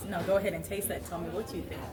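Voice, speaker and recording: A woman's voice: fairly low pitch, fairly even intonation, rapid-fire, over loud music. A woman hosting a talk show in a casual, chatty interview style, often with laughter in her talk. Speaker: talk show and podcast host. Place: coffee house. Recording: echoey, distant mic in noisy crowded room. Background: crowd chatter.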